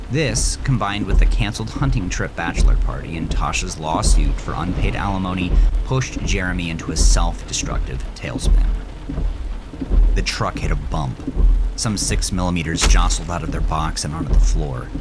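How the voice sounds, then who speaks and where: A man narrating a scripted horror story intensely, dropping to a hush in grim moments. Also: low-pitched.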